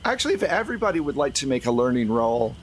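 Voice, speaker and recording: A man's voice: mid-range voice, big pitch swings, quick pace, a little hiss or hum. A man speaking as game master, narrating a tabletop game in a casual way.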